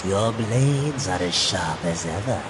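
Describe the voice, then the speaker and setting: An actor playing a sly demon merchant in a video game, drawing out words in an oily, ingratiating and sinister manner. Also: male voice, low voice, lively intonation, slow pace. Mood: sly, coaxing. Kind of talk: video game cutscene acting. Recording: clear ambient noise behind voice.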